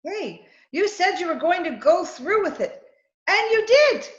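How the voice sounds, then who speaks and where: A woman's voice: very high-pitched, very animated, a little slow, roomy sound, lo-fi sound, full character voice. A woman playing a patient, wry, affectionate housewife in old-time radio comedy, on a video-call recording. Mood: fond, proud.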